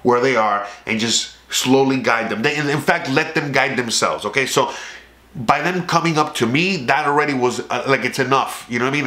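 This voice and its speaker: A Cuban American man from South Florida delivers a swearing, meandering monologue to his viewers, checking in with frequent "okay?" asides. His voice is bright and sharp, at a middle pitch for a man. The room around him is echoey. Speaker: YouTube channel host. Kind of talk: casual profane monologue to camera.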